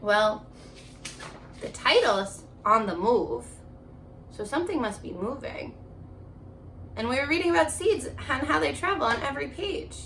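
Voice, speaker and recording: High, animated woman teaching young children. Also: a little slow, long pauses, a little hiss or hum, rough recording. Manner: encouraging, questioning, speaking directly to young pupils. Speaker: elementary school teacher. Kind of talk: children's remote reading lesson, alternating slow read-aloud and chatty prompts.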